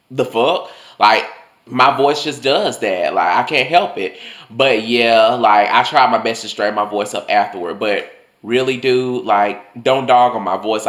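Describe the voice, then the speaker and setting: Young man, casual storyteller, lots of "like", pitch wanders high and low, echoey room. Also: a bit bright. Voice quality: voice swings higher and lower. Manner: chatty, loose, full of "like" and swearing. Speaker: vlogger telling personal stories.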